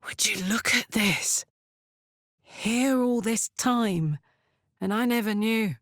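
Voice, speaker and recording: A woman voicing a brash, warm-hearted fantasy warrior in a video game, blunt, informal and expressive. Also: fairly high pitch, takes it slowly, dry recording, clean studio sound. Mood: earnest. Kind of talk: video game character voice acting.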